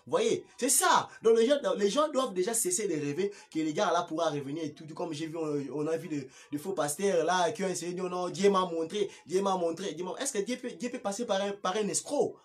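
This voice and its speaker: Male political commentator, Congolese accent, high register, emphatic and repetitive, unscripted with halting restarts. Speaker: political party activist and commentator.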